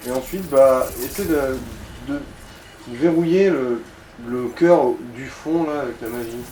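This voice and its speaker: A man's voice: medium pitch, a little slow, warm tone, recorded from a distance. Male gaming co-commentator, mid-range voice, casual advice, roomy recording with faint noise. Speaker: let's play co-commentator giving advice.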